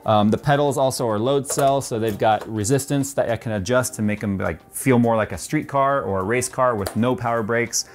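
A man's voice: mid-range voice, moderate pace, few pauses. A man in his forties giving a casual tour of his home game room, chatty and loose with plenty of ums, on a lapel mic. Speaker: tech YouTuber.

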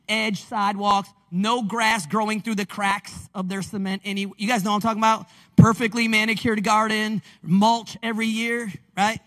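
A man, preaching a lively, conversational sermon on a church stage into a handheld mic. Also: very high-pitched. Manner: animated, conversational preaching with call-and-response. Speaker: pastor. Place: large church stage with screens. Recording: long reverb of a large hall.

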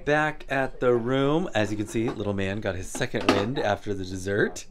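A man's voice: lowish voice, moderate pace, heavy background noise. A man in his thirties, losing his voice, chatting and laughing to a camera held at arm's length in a cruise ship cabin. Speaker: vlog host. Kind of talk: casual travel vlog. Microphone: handheld camera at arm's length.

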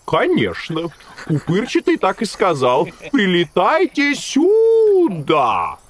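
An eager little octopus helper in a children's cartoon. The voice is high and leaps wildly up and down, full of earnest excitement. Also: male voice, slow pace, exaggerated voice. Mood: matter-of-fact. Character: small earnest excitable octopus assistant to space doctor.

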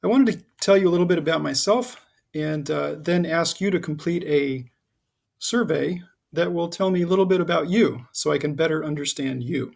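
Casting: middle-aged American male schoolteacher, slightly high voice with a gravelly edge, halting informal explainer. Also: a little mellow. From United States (Connecticut and Southern California). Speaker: high school history teacher. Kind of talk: informal recorded class introduction over slides.

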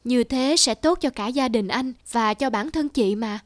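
A woman voicing a compassionate, grave senior male doctor who speaks formally and measuredly in an animated moral story. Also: fairly high pitch, measured pace, no echo, studio quality, lightly acted. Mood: gently persuasive.

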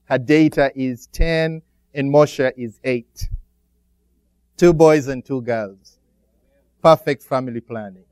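A middle-aged man from Uganda with a Ugandan accent, speaking as a guest pastor to a church congregation, pausing often as he preaches. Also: fairly high pitch, a little mellow, clean tone, no room sound. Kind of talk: church greeting and sermon.

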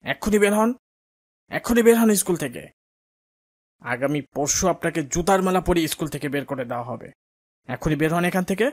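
Man acting a haughty, bullying councillor, fairly high-pitched. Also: expressive, brisk, long pauses. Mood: furious, commanding. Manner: haughty, threatening, scolding. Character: arrogant, bullying local councillor and angry father. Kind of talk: cartoon dialogue acting.

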